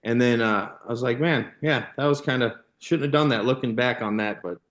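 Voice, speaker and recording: A man's voice: medium pitch, a little fast, a bit bright. An American man in his thirties telling casual, rambling anecdotes as a podcast guest, often dropping in "you know", "like" and "man". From Illinois, United States. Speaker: podcast guest, former baseball player and youth coach.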